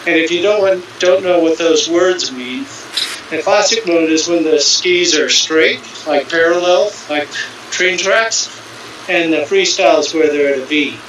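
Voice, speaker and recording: A man's voice: fairly high pitch, unhurried, fairly bright tone, very echoey, recorded from a distance, a little hiss or hum, poor audio. A man in his sixties from California, chatting casually about cycling and skiing with dry, self-mocking humor. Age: 60s. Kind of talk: casual chat.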